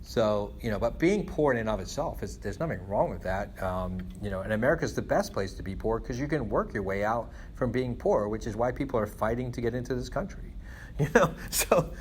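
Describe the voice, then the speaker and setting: A man's voice: medium pitch, lively intonation, fairly quick, a little hiss or hum. A middle-aged man from England talking casually in a rambling way, leaning often on "you know" and "like". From born in England to Guyanese parents of Indian descent. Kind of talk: casual conversational venting.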